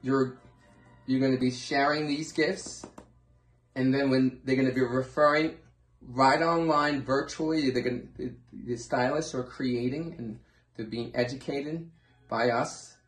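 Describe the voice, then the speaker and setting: An echoey, roomy recording of a man presenting a training pitch. His voice sits at a medium pitch. He is earnest and emphatic, circling back over points and posing questions to drive them home. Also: steady tone, unhurried, faint music behind. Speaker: presenter training hair-loss clinic professionals. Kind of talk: informal instructional sales presentation.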